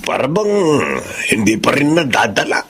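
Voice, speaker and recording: A man's voice: medium pitch, big pitch swings, normal speed, vocal fry, fairly bright tone, a little hiss or hum. A Filipino man playing a worried, forgetful middle-aged father in a radio drama, folksy and rueful.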